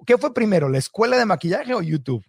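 A man hosting a podcast with a slightly high voice. He asks his guest chain after chain of questions, and the recording is dry and close. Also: big pitch swings, fast talker, husky, no room sound. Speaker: podcast host. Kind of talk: interview conversation.

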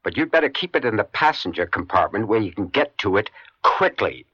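A man's voice: on the low side, sing-song, a little fast, bright, forceful tone, slightly rough, no echo. A man reading a scripted public service announcement as a radio announcer. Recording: dull vintage radio recording.

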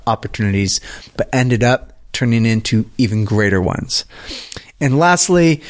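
A man hosting a podcast, speaking conversationally as he introduces the show and his guest. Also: mid-range voice. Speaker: podcast host.

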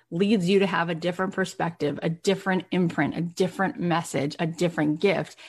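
A woman speaking as a motivational coach to an audience, emphasizing and repeating key phrases and asking rhetorical questions. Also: on the low side. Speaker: podcast host. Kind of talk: motivational workshop talk to an audience.

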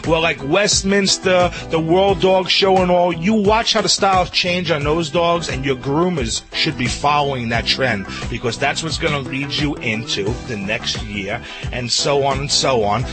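A man with a New Jersey accent chatting on radio as a pet grooming expert, rambling and excited with many restarts. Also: on the high side, a little slow, few pauses, over music. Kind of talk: casual radio chat.

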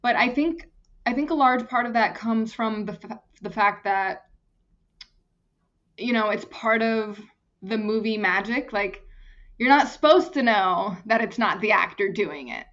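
A woman's voice: fairly high pitch, a little slow, a bit bright, dull audio. A young woman from Germany, an actress and stunt performer, chatting casually as an interview guest, often restarting her phrases.

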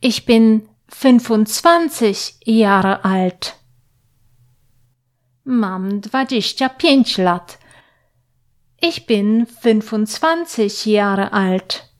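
Slow, patient woman teaching German. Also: medium pitch, expressive. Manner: patient, carefully enunciated, pausing for the listener to repeat. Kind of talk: slow, clear language lesson with repetition drills.

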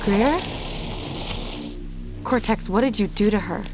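A woman's voice: mid-range voice, slow pace, over music, low-quality recording. A woman playing an exasperated, defiant captive labourer in a science fiction audio drama, emphatic and protesting. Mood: exasperated, alarmed.

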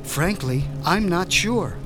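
A sinister mad doctor, voiced by a man speaks in a fairly high voice with lively, gliding pitch. He stays cool and polite while he says menacing things. A music score runs underneath. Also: takes it slowly. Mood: calm. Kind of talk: audio drama acting.

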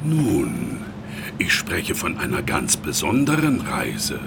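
A man playing a mysterious, wizardly librarian in a children's audio play, with theatrical, deliberate delivery. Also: rumbling low, huge pitch swings, gravelly, very noisy, exaggerated voice. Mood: mysterious, enticing. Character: mysterious, oddly dressed male wizardly librarian.

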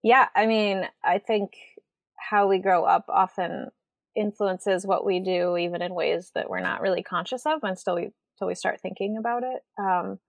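Relaxed woman with dry, close sound. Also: medium pitch, normal speed, vocal fry, no room sound. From Western Washington, USA. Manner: relaxed, frequent ums, rambling asides. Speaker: guest, writer and artist. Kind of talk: casual conversational interview.

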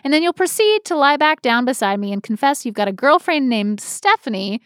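A woman's voice: high voice, sing-song, brisk, a bit bright, smooth, no room sound, clean studio sound. A woman reading the part of a blunt, skeptical, sardonic woman in an amateur stage play reading. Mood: scornful. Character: Betty, skeptical, blunt woman rebuffing a stranger's pickup.

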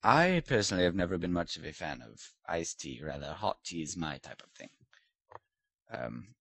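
A haughty British lord, as played by a man in a put-on accent, hosts a comedic podcast interview in a very deep voice that swoops up and down. He talks in a mock-posh, teasing way. The sound is dry and close. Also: big pitch swings, normal speed, vocal fry, a bit bright, husky, no echo, heavily acted. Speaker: host.

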